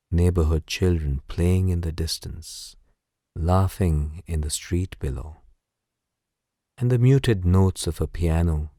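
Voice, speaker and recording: A man narrating a bedtime story as guided sleep meditation in a soothing, gentle, unhurried way. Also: rumbling low, dry recording, studio quality.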